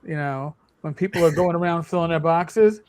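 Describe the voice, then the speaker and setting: A man's voice: a little high, moderate pace, darkish tone. A man hosting a casual podcast chat, rambling with frequent restarts and easy laughs. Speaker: game master and channel host.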